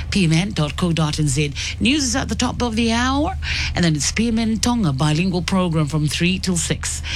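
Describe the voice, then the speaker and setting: A woman hosts a radio show in a studio, her voice sitting at a middling pitch. She talks in a cheerful, chatty way, trading quips and laughing readily, with a faint hum of ambience behind her. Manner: upbeat, chatty, laughs easily. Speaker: radio host. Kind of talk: casual radio chat.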